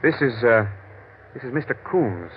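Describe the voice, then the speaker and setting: An actor playing a wary, stiff husband in classic radio drama style, guarded and hesitant. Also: male voice, medium pitch, lively intonation, moderate pace, muffled recording, natural voice. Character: wary, stiff husband held under threat. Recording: thin, lightly noisy vintage radio recording.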